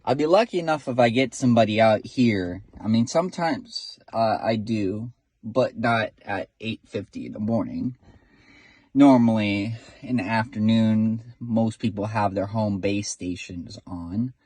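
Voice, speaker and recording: A man's voice: on the low side, measured pace, darkish tone, slightly rough, no echo. A man talking casually from inside his car in his first vlog, rambling with lots of fillers and restarts. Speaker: vlogger, CB radio hobbyist.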